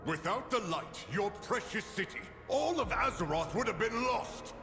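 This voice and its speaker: A man's voice: sits high, lively intonation, moderate pace. A man playing a proud, stern human paladin commander in a video game, lofty and admonishing in manner. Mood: indignant. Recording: echoey hall with loud music.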